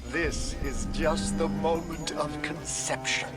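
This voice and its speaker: A man's voice: fairly high pitch, fairly even intonation, takes it slowly. A male actor playing a flamboyant, unhinged, sadistic artist in a video game, with a theatrical, grandiose delivery. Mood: grandiose, sinister. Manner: theatrical, grandiose, swinging from hushed whispers to outbursts. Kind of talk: dramatic video game monologue. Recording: loud music and noisy ambience underneath.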